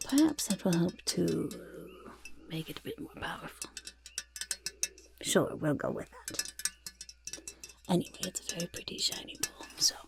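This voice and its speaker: A woman voicing a boastful, eager goblin in a soft-spoken ASMR roleplay, with a raspy half-whisper and fussy, repetitive phrasing. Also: on the low side, very animated, normal speed, long pauses, background noise. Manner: speaks of self in third person, fussy, emphatic repetition. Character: boastful, eager goblin potion-peddler of playful temper.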